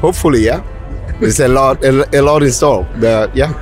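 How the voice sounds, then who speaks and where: A man's voice: mid-range voice, moderate pace, soft background music. A man at a busy indoor expo hall giving short, hesitant answers about a motorcycle. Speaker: exhibitor. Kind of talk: casual interview answer.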